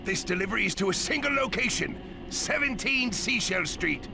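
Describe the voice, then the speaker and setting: A man voicing a blustery, money-minded older crab boss in a cartoon video game, gravelly, hearty and bossy, with sailor-like talk. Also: high-pitched, expressive, normal speed, noisy background. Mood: bossy, brisk.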